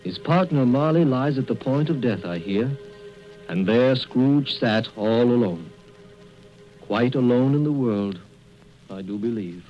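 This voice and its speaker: An actor playing a mild, unhurried husband in a vintage radio drama. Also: male voice, medium pitch, animated, close to an everyday voice. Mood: musing. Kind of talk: 1930s radio acting. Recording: muffled vintage radio broadcast, faint music.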